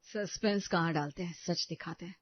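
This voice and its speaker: A woman's voice: lowish voice, fairly quick, breathy edge, no echo, highs cut off. A woman voicing a serious, weary young married sister-in-law in a cartoon story, troubled and questioning. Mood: deadpan.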